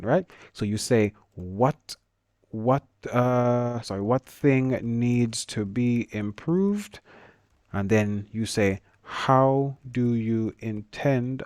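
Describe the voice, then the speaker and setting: A man with a Jamaican accent teaching a screen-share lesson, talking quickly with stutters and restarts. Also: lowish voice, darkish tone, dry recording, studio quality.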